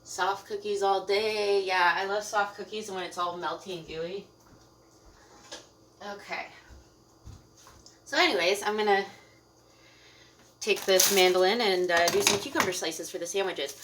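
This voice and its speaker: A woman's voice: medium pitch, fairly even intonation, unhurried, pauses often, fairly bright tone, a little hiss or hum. A young Canadian woman from Ontario chatting casually on a live cooking stream at home, rambling with fillers and laughing often. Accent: Canadian English. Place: home kitchen.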